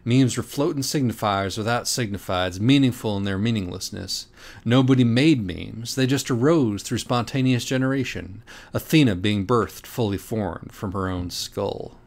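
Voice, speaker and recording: A man reading a parody audiobook in a dramatic, deliberate, mock-earnest way. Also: fairly low pitch. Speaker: audiobook reader. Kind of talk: dramatic scripted narration of a parody children's book.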